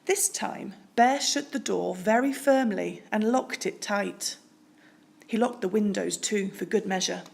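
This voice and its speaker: A woman reading a children's storybook aloud in expressive narration with deliberate, dramatic phrasing. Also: mid-range voice, vocal fry. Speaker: storybook reader.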